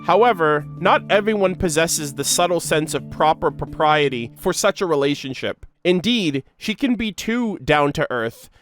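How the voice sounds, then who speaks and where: A man's voice: a little high, expressive, normal speed, hardly any pauses, fairly bright tone, soft background music. A man doing casual reaction commentary and reading game lore aloud, as an enthusiastic fan who uses slang. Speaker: gaming reaction video creator.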